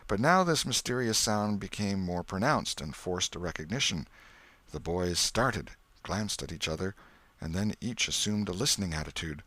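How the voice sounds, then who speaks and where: Low-pitched man narrating a bedtime story. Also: animated, bright, forceful tone, no room sound. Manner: measured literary storytelling. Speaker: audiobook narrator.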